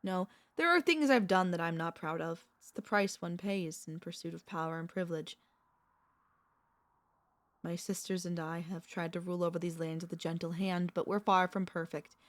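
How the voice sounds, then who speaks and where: A woman voicing a centuries-old vampire mistress, a tender, possessive girlfriend, speaking softly and intimately with courtly wording and hesitant pauses in an ASMR roleplay. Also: on the low side, no room sound, close to an everyday voice. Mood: wistful, tender. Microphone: close studio microphone, dry.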